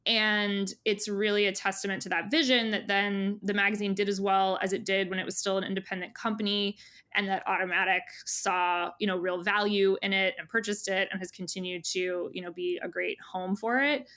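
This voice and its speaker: A woman's voice: medium pitch, flat delivery, moderate pace, pushed and bright. A woman speaking as an interview guest, casual and earnest, rambling and often correcting herself. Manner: rambling, earnest, many hedges and self-corrections. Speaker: magazine editor-in-chief, interview guest.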